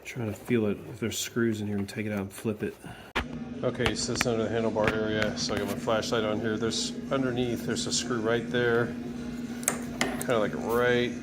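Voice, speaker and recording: A man casually narrating a hands-on repair, thinking aloud in an informal way as he works. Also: fairly low pitch. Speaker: DIY repair video maker. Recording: clearly noisy home video recording.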